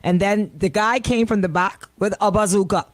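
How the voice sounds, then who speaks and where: A woman's voice: mid-range voice, moderate pace, dry recording. A woman, a radio co-host chatting in a playful, teasing way. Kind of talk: bantering radio chat. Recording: noticeable background noise.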